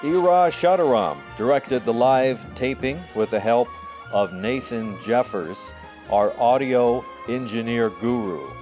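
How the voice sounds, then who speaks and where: A man hosts a radio program in a mid-pitched voice. He reads scripted credits at a very slow, deliberate pace with faint music behind him. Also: a little mellow. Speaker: host and faculty advisor. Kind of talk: scripted radio sign-off narration.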